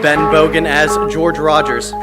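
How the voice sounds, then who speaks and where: A man's voice: on the high side, little pitch movement, a little slow. A man making live stage announcements as the announcer of a cast. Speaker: announcer of the cast at curtain call. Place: live theatre or hall with audience. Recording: loud music and crowd applause behind. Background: loud guitar music and applauding crowd.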